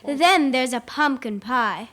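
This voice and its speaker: A woman's voice: high voice, lively intonation, takes it slowly, fairly bright tone, full character voice. A young female performer playing a coquettish eighteen-year-old farmer's daughter in a school radio play. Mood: coy. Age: young teenager.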